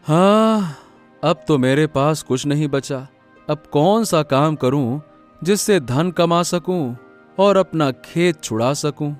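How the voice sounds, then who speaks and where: An actor playing an earnest, troubled young farmer in a cartoon moral story, melodramatic, halting and pleading. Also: male voice, a little high, animated, soft background music. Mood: worried. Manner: halting, pleading, repeating words.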